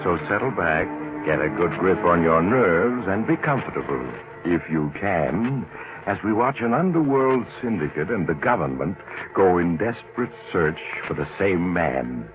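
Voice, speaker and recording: A muffled vintage radio recording of a ghoulish mystery host. His voice is low and his delivery slow and insinuating, with a sardonic politeness. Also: animated, over music. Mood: ominous, inviting. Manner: slow, insinuating, mock-genial. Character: the Mysterious Traveler, sardonic ghoulish male storyteller on a train. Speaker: host and narrator. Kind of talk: 1940s radio mystery narration.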